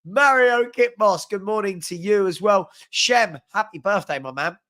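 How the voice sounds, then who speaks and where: Young man, higher-pitched voice, sniffly with a cold, upbeat live-stream host, quick shout-outs. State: has a head cold, a bit sniffly. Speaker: host of a live fan chat show. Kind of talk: casual live-stream chat reading out viewers' comments.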